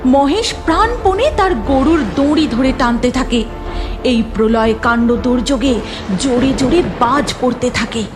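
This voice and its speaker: A woman's voice: high-pitched, unhurried, music in the background. A woman narrating a cartoon story in a dramatic scripted style.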